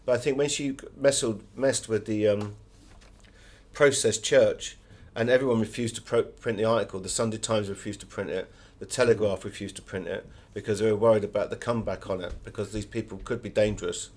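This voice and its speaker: A man's voice: on the low side, fairly even intonation, a little fast. A man giving conversational, anecdotal answers as an author being interviewed.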